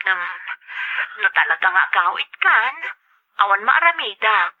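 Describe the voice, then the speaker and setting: A woman's voice: mid-range voice, big pitch swings, unhurried. An actress playing a demanding, reproachful young woman in a radio drama, with an Ilocano accent, heard over a telephone line. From Philippines. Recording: thin, band-limited telephone line.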